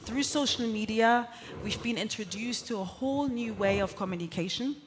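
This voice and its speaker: A woman's voice: fairly high pitch, normal speed, slight background noise. A woman whose first language is German gives an earnest, emphatic conference talk, building her points in repeated lists. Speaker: keynote speaker.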